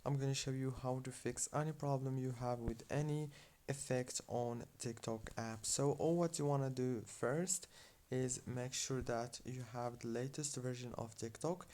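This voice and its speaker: A man's voice: mid-range voice, fairly even intonation, normal speed, warm tone. A man hosting a how-to tutorial, giving step-by-step phone instructions in a narrated screen-guide style. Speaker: tutorial host. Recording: faint background noise with clicks.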